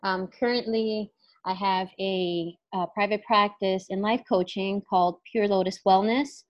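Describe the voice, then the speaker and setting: A woman's voice: medium pitch, steady tone, a little slow, lo-fi sound. A woman who is a clinical psychologist and life coach, speaking as an interview guest in a thoughtful, casual way, full of fillers and restarts. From New York, USA.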